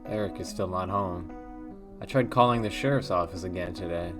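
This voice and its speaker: A man with a very low voice reads a text-based horror game aloud. His delivery is hushed and measured with a wondering, uneasy tone, and faint music plays underneath. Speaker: let's play host.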